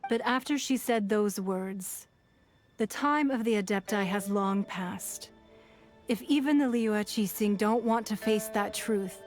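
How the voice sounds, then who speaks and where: A woman voicing a poised, shrewd and authoritative female ruler in a video game, speaking in a measured, formal manner. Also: mid-range voice, a little mellow, natural voice. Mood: composed, reflective. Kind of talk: video game character acting.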